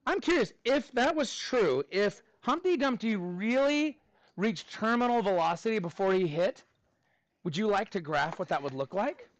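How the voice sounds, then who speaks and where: Guy teacher w a high voice, animated and informal, talking to his class, dry close sound. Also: normal speed, no echo, dull audio. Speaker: middle school math teacher.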